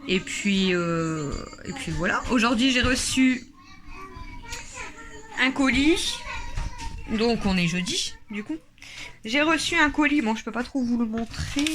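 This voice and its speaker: A woman's voice: a little high, a bit bright, slight background noise. A woman, chatting casually in a home family vlog and laughing often. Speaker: family vlogger, mother.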